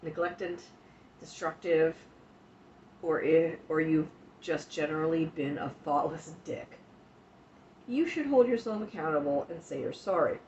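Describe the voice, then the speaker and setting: A woman with a low, unhurried voice chats frankly to her stream audience, sniffly and coughing with the flu. Her talk is blunt and laced with swearing. The sound is a little dull and lo-fi, with a faint hiss behind her. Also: darkish tone. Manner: frank and profane, talks straight to chat. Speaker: livestream host. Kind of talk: casual livestream chat about self-care. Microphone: streaming mic.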